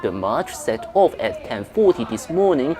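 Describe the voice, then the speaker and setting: A man's voice: mid-range voice, big pitch swings, normal speed, darkish tone, a faint crowd. A man reading a scripted news report as a correspondent.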